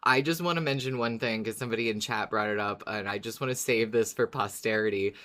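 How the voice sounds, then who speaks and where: Very low-voiced woman co-hosting. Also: quick pace, a bit bright. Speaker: podcast co-host. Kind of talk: casual chat.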